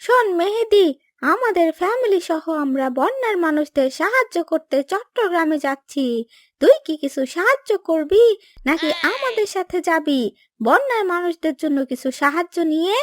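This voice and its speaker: A woman plays a kind-hearted young cartoon boy with a Bangladeshi accent. The voice is very high and lively, emphatic and childlike, recorded close and dry. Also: moderate pace, no room sound. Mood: eager. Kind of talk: Bengali cartoon dubbing.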